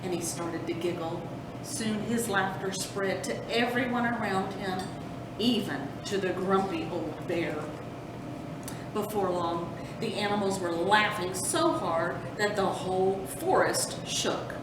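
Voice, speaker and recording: A woman narrating a children's storybook as a storytime host, deliberately, with dramatic emphasis on action words. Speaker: storytime host reading a picture book. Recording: roomy, distant mic with rumbling noise.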